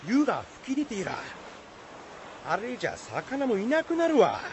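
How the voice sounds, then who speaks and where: An actor playing a blunt, excitable fisherman in a folktale radio drama, with rough rustic speech in a Shizuoka Izu dialect. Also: very high-pitched, moderate pace, exaggerated voice. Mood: agitated. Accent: rural Shizuoka, Izu dialect. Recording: faint background noise.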